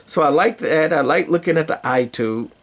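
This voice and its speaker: An older man with a gravelly voice, giving a casual, rambling hobbyist walkthrough with folksy, dryly sarcastic asides. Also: fairly high pitch, moderate pace, a little hiss or hum. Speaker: radio hobbyist presenter.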